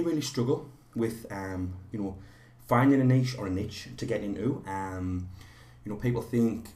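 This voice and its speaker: A man with a slightly hoarse voice from a cold, teaching a live online course in a rambling way with many fillers and restarts. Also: on the low side, darkish tone, echoey room.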